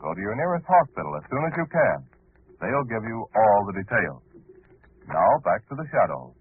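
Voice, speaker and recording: A man's voice: fairly low pitch, very animated, moderate pace, husky. A man with an American accent reading scripted announcements as a 1940s radio announcer. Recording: slightly noisy phone-like old radio recording.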